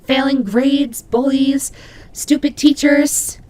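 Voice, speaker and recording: Woman as a high-voiced, huffy tsundere demon bunny. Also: fairly even intonation, takes it slowly, darkish tone. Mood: grudging resignation. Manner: indignant, stammering, huffy. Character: cute tsundere female demon bunny, proud, flustered, grudging. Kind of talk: scripted audio roleplay acting.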